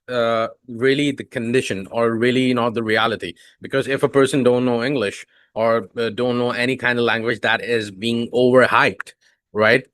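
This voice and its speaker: A man's voice: mid-range voice, fairly bright tone, no room sound. A man whose first language is Urdu, hosting a podcast and asking long, rambling questions full of fillers. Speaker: podcast host. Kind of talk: podcast interview.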